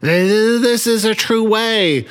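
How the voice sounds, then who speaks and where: A man hosting a podcast with an earnest, folksy manner and halting, stuttering phrasing. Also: high-pitched, fairly bright tone, smooth. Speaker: podcast host and author. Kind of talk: earnest comic sign-off.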